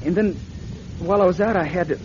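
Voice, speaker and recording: A man's voice: high voice, brisk, a little mellow, a little hiss or hum, close to an everyday voice. An actor playing a young male van driver with a folksy, hesitant way of talking in a radio drama. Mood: dazed. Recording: dull radio recording, music behind.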